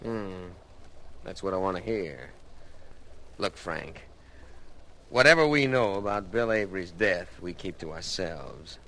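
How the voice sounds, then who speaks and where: An American actor in an old-time radio drama, playing Johnny's part as a brusque, secretive rural sawmill worker with blunt, folksy, clipped speech. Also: male voice, low-pitched, expressive, unhurried, long pauses, pushed and bright, full character voice, rough recording. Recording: old radio recording, faint noise.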